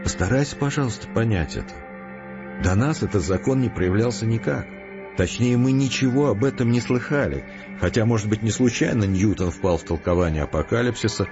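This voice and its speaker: An actor playing a calm, determined scientist who explains things earnestly and deliberately in an audio drama. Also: male voice, fairly low pitch, close to an everyday voice. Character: resolute philosophical male scientist, calm, determined, reasoning patiently. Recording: muffled, with prominent music behind. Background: music.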